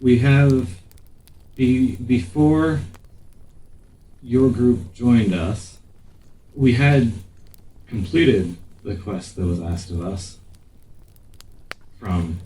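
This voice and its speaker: A man's voice: mid-range voice, very slow, long pauses, mellow. A man voicing an earnest, uncertain fantasy adventurer in a tabletop game, speaking in grave, broken phrases. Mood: measured, hesitant. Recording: roomy, distant mic, faint noise.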